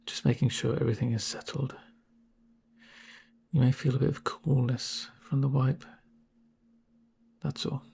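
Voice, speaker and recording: A man playing a calm medic in a soft-spoken ASMR roleplay, gentle and reassuring with dry humour and a breathy, near-whispered voice. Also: mid-range voice, heavily acted. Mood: soothing. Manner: gentle, unhurried, reassuring murmur.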